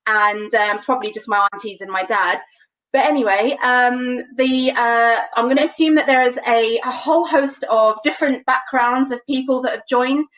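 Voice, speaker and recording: A woman's voice: on the high side, fairly even intonation, moderate pace, fairly bright tone. A young woman from London with a London accent, presenting a casual live cooking demo from her home kitchen, chatty and full of ums. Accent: London English. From London, England. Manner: chatty, many ums, light laughs. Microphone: webcam on a video call. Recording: distant webcam mic in kitchen.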